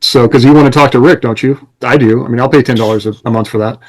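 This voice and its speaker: A man's voice: medium pitch, fairly even intonation, fast talker, poor audio. American man in casual podcast talk. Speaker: podcast host and writer.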